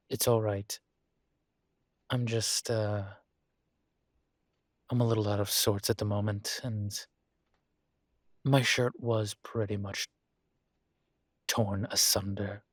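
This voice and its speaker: A composed male college professor, played by a male voice actor in an intimate audio roleplay. His voice sits fairly low and moves at a very slow, measured pace, formal and dryly wry. The sound is close and very dry, with no room to it. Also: dry recording. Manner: measured, formal, hesitant politeness. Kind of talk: intimate scripted one-sided roleplay dialogue.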